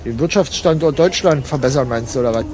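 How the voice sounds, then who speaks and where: A man playing a bored, contrary travel companion, deadpan and colloquial, in a conversational radio play. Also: mid-range voice, fast, lightly acted.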